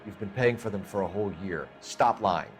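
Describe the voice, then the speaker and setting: An actor playing a stern, pushy 1940s police detective, clipped and commanding, in a video game. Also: male voice, lowish voice, normal speed, music in the background, natural voice. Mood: accusing, forceful. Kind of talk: video game dialogue acting.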